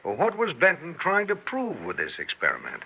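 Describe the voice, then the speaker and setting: An actor playing a brisk, skeptical police investigator in an American radio drama, blunt and businesslike with an American accent. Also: male voice, fairly high pitch, huge pitch swings, vocal fry, breathy edge, exaggerated voice. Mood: probing. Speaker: investigator questioning a witness. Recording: dull, band-limited old radio recording.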